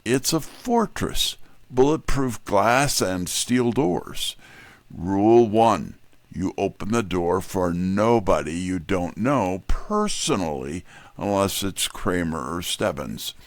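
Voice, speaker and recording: A male actor plays a wry, candid detective's sidekick telling the story in first person. His voice is low with a creaky edge and expressive pitch movement. The recording is dry. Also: takes it slowly, dry recording, heavily acted. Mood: earnest.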